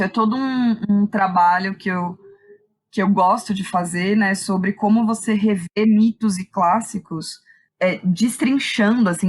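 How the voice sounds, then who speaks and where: A woman with a Brazilian accent explains her work as a guest in a casual, reflective interview, often checking in with small tag questions. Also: mid-range voice. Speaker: interview guest, playwright and director.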